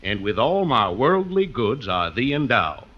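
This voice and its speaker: An actor playing a frontier justice of the peace officiating in an old radio comedy, formal and deliberate. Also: male voice, medium pitch, very animated. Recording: noisy, muffled old radio recording.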